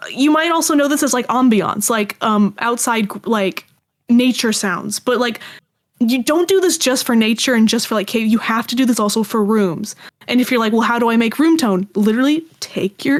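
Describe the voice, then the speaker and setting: A woman chatting casually as an interview guest, talking in a rambling, animated way. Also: fairly high pitch, normal speed. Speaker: guest, audio drama creator.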